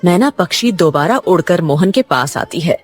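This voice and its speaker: A woman's voice: fairly low pitch, animated, normal speed, soft background music. A woman narrating a scripted cartoon moral story as a storyteller.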